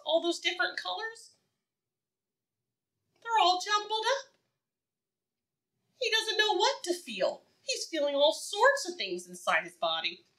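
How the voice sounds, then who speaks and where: A woman preschool teacher doing an interactive read-aloud for young children, asking questions in an encouraging, sing-song way and pausing for answers. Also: extremely high pitch, pushed and bright.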